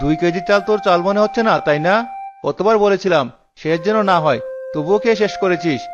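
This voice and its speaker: A man acts out a tight-fisted village husband from a cartoon, always scolding and complaining. His voice sits high and theatrical. The sound is dull and lo-fi, with faint music underneath. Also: a little fast, close to an everyday voice. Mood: angry scolding. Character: stingy, scolding village husband, quick to wail. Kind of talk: cartoon dialogue. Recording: dull lo-fi audio with music behind.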